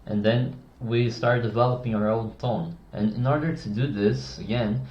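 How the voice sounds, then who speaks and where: A man's voice: medium pitch, fairly even intonation, moderate pace. A man teaching how to use tone of voice, with a casual, rambling manner, and a habit of swearing. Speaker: communication coach. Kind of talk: casual explainer lesson. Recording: roomy, dull lo-fi sound.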